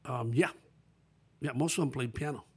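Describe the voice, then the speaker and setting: An older man from the Dominican Republic, a musician answering interview questions in a relaxed, plain-spoken way with short answers. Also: mid-range voice, normal speed, breathy edge. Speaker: guest musician. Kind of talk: casual interview.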